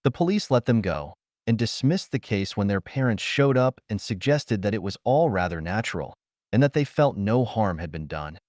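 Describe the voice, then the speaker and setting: A man voicing a composed, teasing, unabashed woman in a pulp science fiction audiobook, matter-of-fact and gently provoking. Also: on the low side, sing-song, moderate pace, no room sound, clean studio sound.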